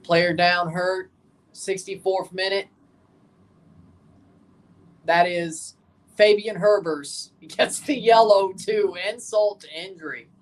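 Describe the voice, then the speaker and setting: A woman's voice: on the low side, slow, long pauses, low-quality recording. A woman hosting a livestream watchalong, giving casual live football commentary and chatting with viewers, often repeating and correcting herself.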